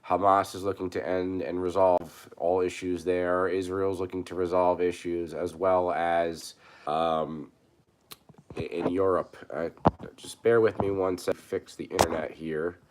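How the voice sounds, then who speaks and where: A man with a very low, hoarse voice talks into his webcam, a bit rough from a cold. He rambles and circles back, stumbling through restarts and fillers in a casual, unscripted way. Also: level tone, unhurried, a little hiss or hum. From New York. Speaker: prepper channel host. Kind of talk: casual live commentary.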